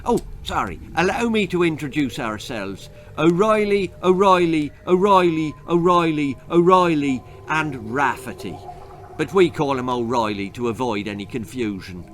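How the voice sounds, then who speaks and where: A man's voice: a little high, expressive, moderate pace, faint music behind, natural voice. A man voicing a tiny, cheery, forgetful old leprechaun with an Irish accent and a chatty, folksy manner. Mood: cheery, welcoming. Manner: chatty, folksy, repetitive greetings.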